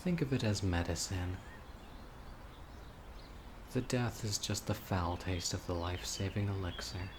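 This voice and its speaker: Man acting a slow, low-voiced obsessive male witch. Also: background noise. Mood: calm, ominous. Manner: slow, deliberate, intimate pleading. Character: obsessive, dying young male witch, devoted yandere lover. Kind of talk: audio roleplay, dramatic monologue to listener. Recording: outdoor nature ambience, crickets and birds. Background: insects, birdsong.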